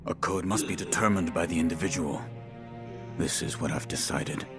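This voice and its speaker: An actor playing a stoic, loyal shinobi in a video game, speaking in a terse, restrained, solemn way. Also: male voice, low-pitched, normal speed, lightly acted. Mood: resolute. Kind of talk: dramatic video game voice acting. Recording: music behind the voice. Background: music.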